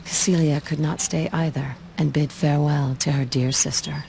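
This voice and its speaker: A woman playing a composed, dutiful watcher, narrating a video game cutscene in a calm, reflective way. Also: sits low, normal speed, poor audio. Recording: clear background noise behind the voice.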